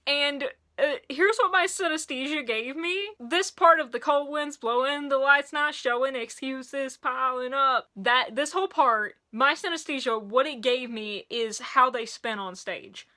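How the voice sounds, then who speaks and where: A woman with a high, sharp and bright voice hosts a casual song review, talking straight to the camera. She is losing her voice, and she gushes excitably, often tripping over and restarting her sentences. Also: measured pace, hardly any pauses. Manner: gushing and excitable, with frequent restarts. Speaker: music reviewer and video host.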